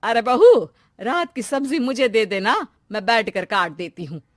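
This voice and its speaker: A woman's voice: a little high, measured pace, no room sound, studio quality, lightly acted. A woman voicing a kindly older mother-in-law in a family cartoon story, motherly and coaxing. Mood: affectionate.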